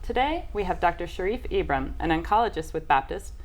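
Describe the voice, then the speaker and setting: A woman hosting a community talk show, reading scripted lines in a bright announcer-like way. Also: lowish voice, expressive, brisk. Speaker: host.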